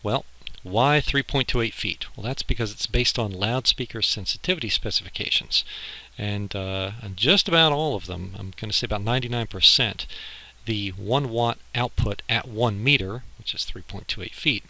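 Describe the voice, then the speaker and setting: Low-pitched man lecturing, bright edgy sound. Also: normal speed, rough recording. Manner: explanatory, informal, step by step. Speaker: instructor. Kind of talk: slide lecture on audio engineering. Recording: harsh amateur recording with clicking.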